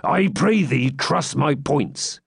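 Man playing a blustering, drawn-out hermit friar. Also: on the high side, expressive, gravelly, breathy edge, dry recording, studio quality, voice pushed up, heavily acted. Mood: boastful. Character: boisterous, blustering hermit friar, pious fraud and brawler. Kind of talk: audiobook character voice.

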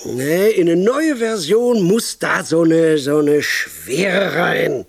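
A tactless, pushy pitchman in a radio play, with a highish male voice that swings widely up and down. He rambles insistently, cuts himself off and bursts into emphasis. The sound is close and dry. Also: lively intonation, takes it slowly, gravelly, husky, dry recording, full character voice. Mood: brainstorming, scheming. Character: brash, pushy male film idea man, tactless and excitable. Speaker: film pitcher. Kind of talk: radio drama monologue, colloquial film pitch.

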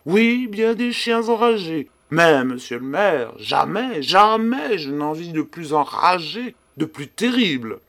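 Casting: pompous, easily panicked notary for an audiobook; highish voice, slow, melodramatic delivery. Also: male voice, sing-song, a bit bright, exaggerated voice. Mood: frightened, boastful. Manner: theatrical, exclamatory, solemn and emphatic. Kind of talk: dramatised literary audiobook acting.

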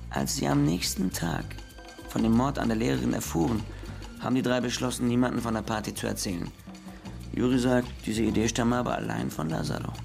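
A man's voice: mid-range voice, fairly quick, vocal fry, a little mellow, soft background music. A man playing a police investigator giving a report in a German radio crime drama. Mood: matter-of-fact.

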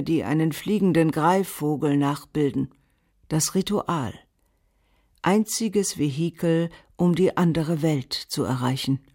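A woman narrating a literary radio drama in a solemn, measured way, with music behind her. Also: lowish voice, a little mellow. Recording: music under the voice.

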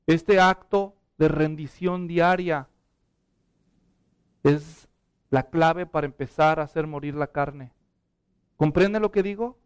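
A middle-aged man with a Mexican Spanish accent delivers a passionate sermon. His voice sits high and sounds smooth and resonant. He sinks to hushed, pleading tones, then lifts into urgent appeals. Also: takes it slowly, pauses often. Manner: impassioned, drops to hushed prayer then rises to urgent appeals. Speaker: pastor.

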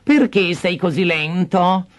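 A man voicing a cruel, bossy stepmother in a storybook reading, with a sharp, scolding, impatient delivery. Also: high-pitched, slow, voice pushed up.

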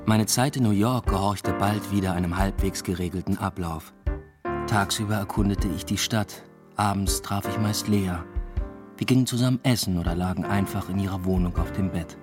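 An actor playing a reflective academic who narrates his own life in a measured, understated way in a radio drama. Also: sits low, over music, lightly acted. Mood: reflective, matter-of-fact. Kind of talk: first-person radio drama narration with dialogue.

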